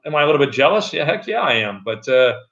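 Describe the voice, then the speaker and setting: A middle-aged man with a loud voice, chatting loosely on a live stream from an echoey room at home, with many fillers and restarts. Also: medium pitch, big pitch swings, quick pace, lo-fi sound. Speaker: channel host. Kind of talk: casual live-stream chat.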